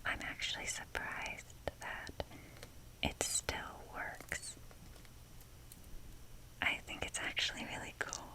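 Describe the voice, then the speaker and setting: A young woman speaks in a breathy whisper throughout, as an ASMR host would. Her delivery is casual and rambling, with a self-deprecating streak. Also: slow, pauses often, rough recording.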